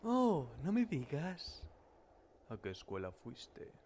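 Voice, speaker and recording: A man playing a menacing, creepily controlling martial-arts hero husband in a cartoon parody, speaking slowly and lapsing into breathy whispers. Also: fairly high pitch, huge pitch swings, darkish tone, a little hiss or hum, exaggerated voice. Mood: mocking contempt. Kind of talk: cartoon parody fandub acting.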